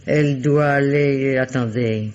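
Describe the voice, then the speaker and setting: A woman's voice: rumbling low, fairly even intonation, slow, darkish tone, slight background noise. An actress playing a talkative, gossiping village innkeeper woman in a 1950s radio drama. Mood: chatty. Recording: dull old radio recording.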